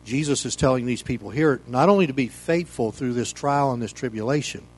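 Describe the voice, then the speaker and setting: Male pastor, American, mature. Informal, conversational preaching, folksy and meandering, medium pitch. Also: fairly quick, husky. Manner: folksy, rambling, peppered with "you know". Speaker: pastor leading a Bible study. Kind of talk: informal sermon, conversational teaching.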